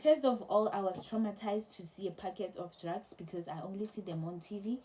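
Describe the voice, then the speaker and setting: A young woman casually telling a travel story to camera, chatty and animated, with many restarts. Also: medium pitch, a little fast, slight background noise. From South Africa. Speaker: travel vlogger.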